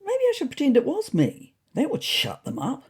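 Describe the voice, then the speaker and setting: A woman's voice: high voice, wildly swooping pitch, moderate pace, darkish tone, husky, voice pushed up, exaggerated voice. A woman voicing a fussy, neurotic male ginger cat who narrates a comic storybook diary in a prim, indignant, self-important way.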